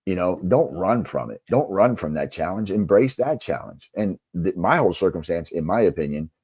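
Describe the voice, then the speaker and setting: A man's voice: low voice, animated, moderate pace, darkish tone, breathy edge, dry recording, studio quality. A middle-aged man answering as an interview guest in an earnest, conversational way, with frequent asides. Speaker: interview guest, basketball coach and author.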